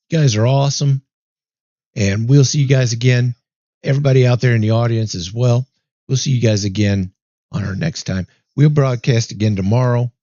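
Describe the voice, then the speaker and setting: A man hosting a race broadcast in a casual chat, easygoing and upbeat, his voice going hoarse. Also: medium pitch, warm tone, dry recording. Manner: easygoing, upbeat, rambling with fillers. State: losing his voice, clearing throat. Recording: dry, dull lo-fi mic.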